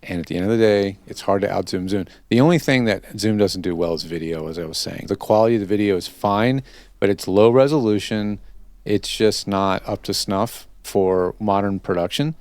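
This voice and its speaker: A man's voice: fairly low pitch, normal speed, darkish tone, no echo. A man hosting a casual interview, talking in a conversational, explanatory way. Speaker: host interviewer. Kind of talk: casual interview chat about recording.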